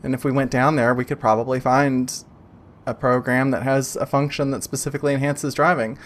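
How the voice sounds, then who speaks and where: A man voicing a seasoned female computer-program driver in a tabletop game, measured and matter-of-fact. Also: medium pitch, little pitch movement, lightly acted.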